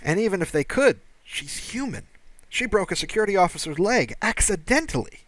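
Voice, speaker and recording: A man voicing a flustered, indignant young male alien security officer who protests haltingly in an audiobook. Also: high-pitched, very animated, fairly bright tone, dry recording, voice pushed up. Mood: exasperated, worried. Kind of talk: audiobook character dialogue.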